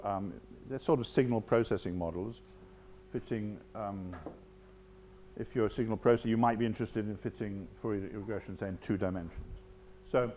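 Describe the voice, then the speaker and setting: A man's voice: medium pitch, darkish tone, a little hiss or hum. Man with an "um"-filled seminar delivery. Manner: apologetic, with many "um"s. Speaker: conference speaker. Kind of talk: academic seminar presentation. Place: seminar room.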